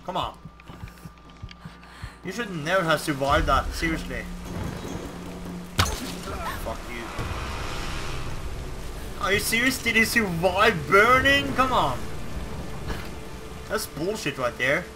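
A young Norwegian man, with Norwegian as his first language, giving casual let's play commentary in English with a Norwegian accent, swearing and reacting loudly to the game. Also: high voice, sing-song, slow pace, pauses often, over music. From Norway. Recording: game sound behind, rain noise.

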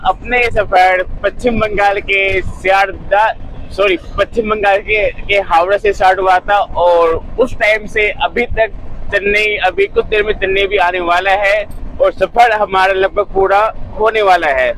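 A man's voice: high voice, fairly even intonation, a little slow, poor audio. A man in his twenties chatting informally as a travel vlogger, recorded on a phone aboard a crowded train. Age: 20s. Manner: chatty, informal, addressing viewers as friends. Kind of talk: casual travel vlog narration and chat with passengers. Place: crowded train carriages and railway platforms. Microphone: phone at arm's length. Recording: loud train and outdoor ambient noise.

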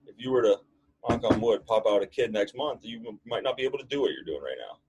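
A man's voice: lowish voice, expressive, quick pace, noisy background. A man in his late twenties, a strength coach co-hosting a podcast, talking bluntly in casual chat and swearing freely.

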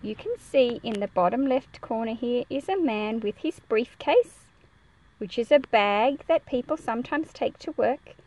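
A woman's voice: fairly high pitch, lively intonation, measured pace, darkish tone, dull audio. A woman reading a picture book aloud and explaining it to children in a careful, teacherly way. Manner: careful, explanatory, enunciated for young listeners.

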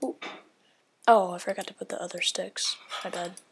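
A woman's voice: fairly low pitch, steady tone, moderate pace, background noise. A woman giving casual gameplay commentary, thinking aloud in a rambling way and often dropping to a whisper. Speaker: gaming video creator.

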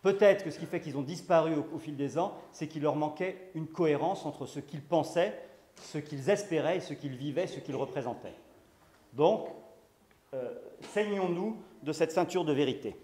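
A man in his thirties giving a lecture in a library room, earnest and halting, with many restarts and repeated words. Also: a little high, dark tone, slight background noise. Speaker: conference speaker. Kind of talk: lecture talk. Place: library room with bookshelves, indoors. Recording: echoey room from a distance.

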